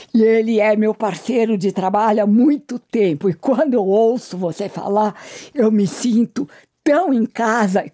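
A woman's voice: mid-range voice, warm tone. A woman interviewer who talks in a gushing, affectionate way and heaps praise on her guest.

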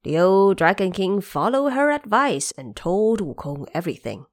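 A woman narrating a scripted fantasy audio drama as an expressive storyteller. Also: mid-range voice, moderate pace, no echo, clean studio sound.

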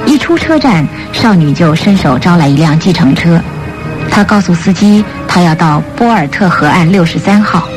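A woman narrating a scripted radio drama in a measured, formal storytelling manner. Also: fairly low pitch, expressive, music in the background, rough recording.

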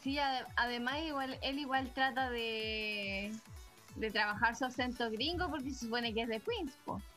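A woman chatting on a podcast, animated and emphatic. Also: on the high side, normal speed, faint music behind. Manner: animated, emphatic with repeated denials. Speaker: podcast co-host. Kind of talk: casual podcast chat.

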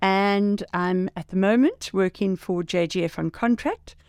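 A woman's voice: mid-range voice, a little slow, a little mellow, clean tone, no echo, studio quality. An older woman, a teacher and mentor speaking graciously as a podcast guest. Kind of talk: casual podcast conversation.

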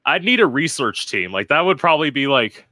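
Bright-voiced chatty podcast host. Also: male voice, a little high, big pitch swings, brisk, no room sound, clean studio sound. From Florida. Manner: chatty, lots of "like", sassy. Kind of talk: casual chat.

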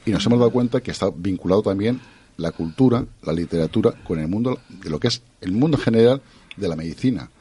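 A man's voice: on the low side, animated, fast talker, dark tone, breathy edge. A man hosting a radio health show, asking questions in long rambling sentences that he keeps restarting. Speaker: radio host. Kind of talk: radio interview.

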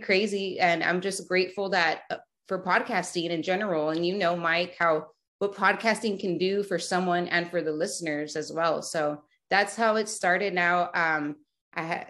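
This is a chatty woman from South Texas, in her thirties, guesting on a podcast. Her voice sits a little low for a woman. She talks loosely, restarting her sentences and padding them with fillers. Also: a bit bright. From Rio Grande Valley, South Texas. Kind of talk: casual remote interview.

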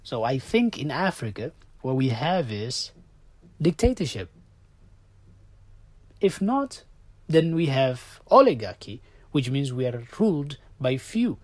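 A man whose first language is Somali, hosting a solo podcast and giving his opinions, swinging from loud outbursts to low muttered asides. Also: very animated, slow, long pauses, no echo. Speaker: podcast host.

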